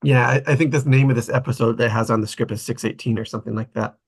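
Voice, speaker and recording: A man's voice: medium pitch, fairly even intonation, fast, husky. A man with a mid-range voice leads a casual group discussion. His phrasing is informal and a little tentative, as if thinking aloud with the others. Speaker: table read host.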